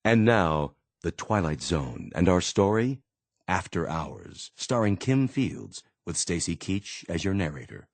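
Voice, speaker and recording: Male announcer for radio drama intro: very low register, dramatic, strongly varied pitch. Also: very animated, unhurried.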